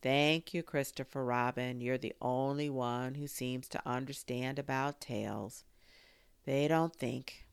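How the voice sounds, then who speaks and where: A woman voicing a gloomy old grey donkey who talks in a slow, mournful grumble. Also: a bass voice, gravelly, clear, resonant tone, no echo, exaggerated voice.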